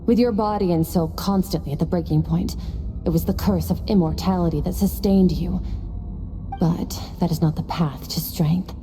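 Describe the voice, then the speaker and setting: A woman's voice: fairly low pitch, steady tone, normal speed, mellow, soft background music, natural voice. A woman voicing a stern, cool swordmaster in a game, firm and lecturing. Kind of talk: game voice acting.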